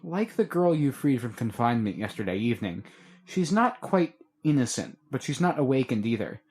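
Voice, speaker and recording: A man voicing Matthew, a weary, guarded young man who explains things in a measured way. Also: medium pitch, sing-song, darkish tone, husky. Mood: earnest.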